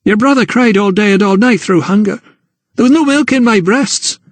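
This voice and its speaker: A man's voice: high-pitched, animated, brisk, dry recording, voice pushed up. A man voicing a gaunt, fierce, defensive mother who scolds indignantly. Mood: scolding.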